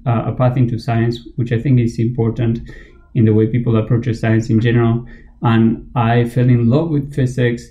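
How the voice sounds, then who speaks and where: A man's voice: mid-range voice, warm tone, lo-fi sound. A man from Argentina with an Argentine Spanish accent, a scientist guest talking casually and hesitantly with many fillers. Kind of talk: casual interview talk.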